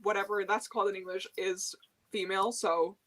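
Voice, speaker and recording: A woman's voice: medium pitch, little pitch movement, a little fast, a bit bright. Dutch-accented woman, earnest. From Netherlands. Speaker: guest. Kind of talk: casual chat.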